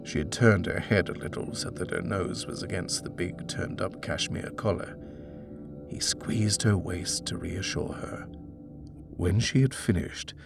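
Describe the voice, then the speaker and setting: A man's voice: low-pitched, gravelly, a little hiss or hum. A man narrating a scripted horror story as an audiobook reader.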